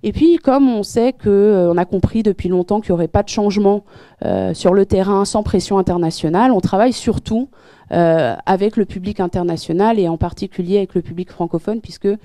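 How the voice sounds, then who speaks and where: A woman's voice: mid-range voice, a little fast, warm tone, no echo. A woman giving an explanatory talk with slides as a guest speaker, earnest and full of hesitations and restarts. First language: French. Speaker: guest speaker at a public debate.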